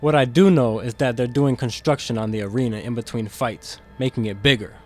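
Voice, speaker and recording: A male actor voices a guarded demon who carries his guilt into every word. He is earnest and quick to defend himself, in a middling male pitch. It is audio drama, with music playing behind him. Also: natural voice. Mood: discreet, earnest. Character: guarded, conscience-stricken demon insider smuggling secrets to captive.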